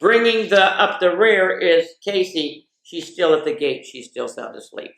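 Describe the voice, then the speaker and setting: A woman hosts story time for children in a roomy, echoing space. She reads picture books aloud at a very unhurried pace, drawing out key words and slipping in informal comments of her own. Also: lowish voice, expressive, a bit bright. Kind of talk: reading picture books aloud with chatty asides. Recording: echoey, roomy sound.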